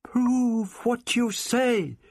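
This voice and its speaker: A man voicing a fierce, mistrustful male insect chieftain who lives underground, speaking in a harsh, shouting manner. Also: very high voice, drawn-out words, no echo, pitched above the performer's own voice, full character voice. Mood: demanding.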